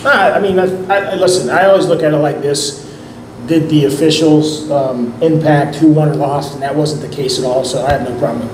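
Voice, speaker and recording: A middle-aged man, a head coach, answering post-game questions in a measured way at a press conference table mic. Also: a little high, steady tone. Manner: measured, repeats words when starting sentences. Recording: echoey room with background noise.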